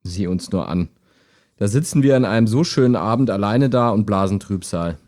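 A man playing a calm, helpful, lonely chat partner in an audio drama, with a measured, earnest delivery. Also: lowish voice, natural voice. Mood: concerned, wistful. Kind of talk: amateur audio drama dialogue, read chat.

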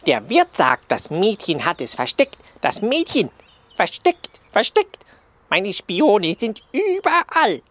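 A woman playing a boastful, scatterbrained male crow in a German radio play, repeating phrases in an excitable bird manner. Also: medium pitch, takes it slowly, exaggerated voice. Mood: flustered, boastful. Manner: repeats phrases, squawking interjections.

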